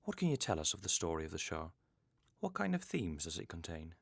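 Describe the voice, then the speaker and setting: A man's voice: low voice, wildly swooping pitch, fairly quick, creaky, slightly rough, no room sound, studio quality. A man hosting an interview, putting scripted questions to a guest. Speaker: interviewer and host.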